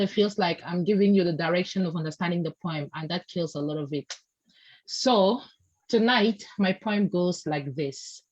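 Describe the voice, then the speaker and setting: A woman performing a spoken word poem with a slow, measured, solemn delivery. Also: mid-range voice, no echo, muffled recording.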